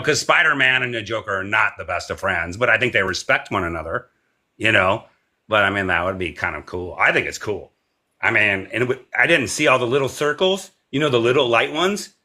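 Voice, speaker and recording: A man joining a group call, gushing and excitable, exclaiming as he talks. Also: fairly low pitch.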